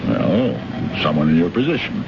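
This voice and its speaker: A man's voice: low voice, sing-song, measured pace, gravelly, heavily acted. An actor playing an officious, overbearing man from a government ministry in an old-time radio drama, brusque, blustering and given to lecturing. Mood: stern. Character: officious, overbearing male trade official, jealous and dismissive. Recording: dull, noisy vintage radio recording.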